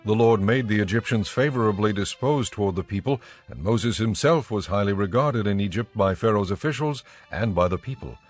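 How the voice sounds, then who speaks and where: A man's voice: lowish voice, fairly quick, hardly any pauses. A man narrating scripture as the scripted narrator of a dramatized audio Bible.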